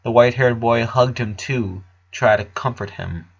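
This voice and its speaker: A man's voice: mid-range voice, moderate pace, gravelly, husky, highs cut off. A man reading a story aloud as a dramatic narrator. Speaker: fan fiction reader. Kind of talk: dramatic audiobook narration with character voices.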